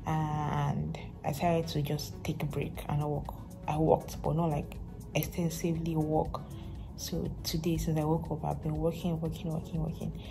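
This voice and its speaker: A young Nigerian woman talks to a phone at arm's length, chatty and unhurried. Her voice sits low for a woman, and soft music plays under her words the whole time. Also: vocal fry, poor audio. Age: young adult. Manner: relaxed, chatty, rambling. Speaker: vlogger. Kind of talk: casual vlog chat to camera. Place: bedroom, in bed; also parked car. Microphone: phone held at arm's length.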